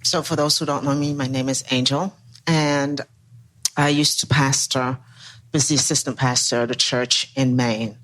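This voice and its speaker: A man, a former assistant pastor, telling a personal story as a guest in a panel discussion, in a measured way. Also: medium pitch, fairly even intonation, fairly bright tone, no room sound, rough recording.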